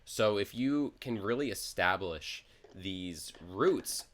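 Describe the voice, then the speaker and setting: A man with a deep, low voice hosting a casual podcast chat. He talks with open enthusiasm and keeps the conversation moving with questions to his guest. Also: expressive, slow, a bit bright. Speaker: podcast host.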